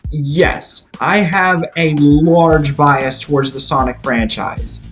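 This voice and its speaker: A man reading a scripted movie review as a video reviewer, in a measured, emphatic, articulate way. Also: on the high side, fairly bright tone. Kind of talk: scripted film review narration. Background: rock guitar music.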